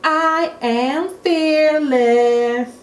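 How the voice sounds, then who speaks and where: A woman's voice: high voice, drawn-out words, reverberant, pitched above the performer's own voice. A woman voicing a boastful, brave little girl in a children's storybook, with a theatrical sing-song delivery. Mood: singing, bold. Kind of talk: children's storybook read-aloud.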